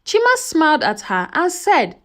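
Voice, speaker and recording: High, animated woman storyteller. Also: moderate pace. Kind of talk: scripted dramatic storytelling narration of a folktale.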